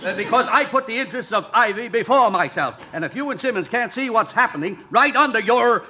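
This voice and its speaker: An actor playing a pompous, indignant college official who sputters and stammers, in 1950s radio sitcom style. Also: male voice, very high-pitched, lively intonation, fairly quick, bright, forceful tone, slightly rough, exaggerated voice. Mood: huffy, flustered. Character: blustering, indignant male college official, self-important and flustered.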